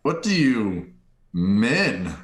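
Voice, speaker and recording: A man's voice: lowish voice, very animated, very slow, heavily acted. A man playing an indignant, blunt heir in a radio play.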